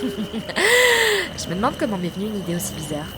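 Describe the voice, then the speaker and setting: A woman's voice: medium pitch, wildly swooping pitch, normal speed, heavy background noise. A woman playing a short-tempered, sarcastic time traveller in a comedic French-language audio drama, indignant and emphatic. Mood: wry.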